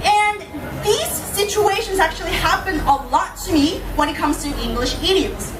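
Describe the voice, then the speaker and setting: High-voiced Korean-accented woman speaking, echoey room. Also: normal speed. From South Korea. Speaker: public speaker, language teacher. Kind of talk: informal live talk to an audience. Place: indoor venue in front of an audience. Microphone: camera at a distance. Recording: echoey room, distant camera mic, noisy.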